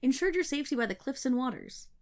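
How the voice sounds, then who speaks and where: Woman playing a selkie, seal-folk woman, soft and breathy, swooping lively pitch, affectionate, fondly scolding, ASMR roleplay. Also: quick pace. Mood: fond, insistent.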